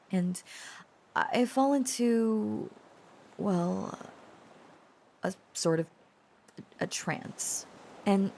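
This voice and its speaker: A woman playing a shy, protective dragon-descended lover in an intimate romantic audio roleplay, speaking haltingly and earnestly, often dropping toward a breathy whisper. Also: on the low side, darkish tone, full character voice. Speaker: voice actor.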